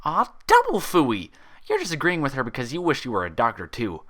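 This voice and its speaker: A man's voice: medium pitch, wildly swooping pitch, fairly quick, a bit bright, pitched above the performer's own voice. A man voicing an eager, childish female cartoon pony in a story reading, with a bouncy, naive, exaggerated manner. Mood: pouting. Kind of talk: dramatized fan fiction reading.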